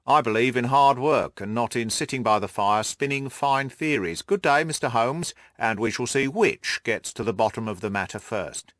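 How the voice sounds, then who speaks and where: A man voicing an excitable, cocksure police detective in an audiobook, emphatic and scornful. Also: medium pitch, normal speed, hardly any pauses, no room sound, studio quality, close to an everyday voice. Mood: indignant, scornful. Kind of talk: audiobook character acting.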